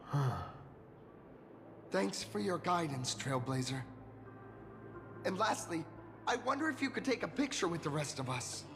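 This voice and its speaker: High, creaky, hesitant young rookie hero. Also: male voice, big pitch swings, measured pace, long pauses. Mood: shy, flustered. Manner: earnest, hesitant, humble. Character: earnest, modest, self-doubting young rookie hero, reformed delinquent. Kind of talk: animated game voice acting. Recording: long reverb, clear music behind.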